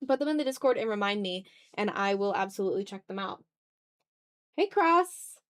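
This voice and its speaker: A woman streamer chatting informally on a livestream while she draws, often muttering to herself as she works. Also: mid-range voice. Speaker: streamer hosting an art stream. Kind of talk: casual livestream chat while drawing.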